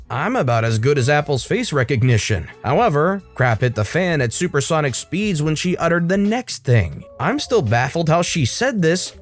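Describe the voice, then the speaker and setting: A man reads internet stories aloud in a lively, animated way, dropping in wry asides. His voice sits a bit high for a man. Soft music plays faintly behind him. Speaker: story narrator.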